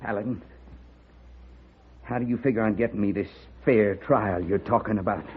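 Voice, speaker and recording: A man's voice: on the low side, normal speed, slight background noise, natural voice. A man playing a wary, desperate, cornered outlaw in an old-time radio Western. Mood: wary, resigned. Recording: thin, narrow-band vintage radio recording.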